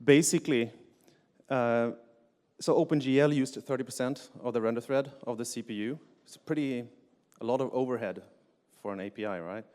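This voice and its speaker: Middle-aged man, conference speaker, filler-heavy technical delivery, mid pitch, echoing hall. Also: normal speed, darkish tone. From Sweden. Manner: frequent "uh" fillers and restarts, plain explanatory delivery. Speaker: conference speaker, game engine developer. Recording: long reverb of a lecture hall.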